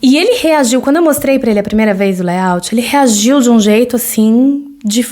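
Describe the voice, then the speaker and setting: A woman's voice: on the high side, expressive, a little fast, dark tone. A Brazilian woman in her thirties with a Brazilian Portuguese accent, hosting a solo podcast and talking in a loose, conversational way, with many fillers and tag questions. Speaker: podcast host.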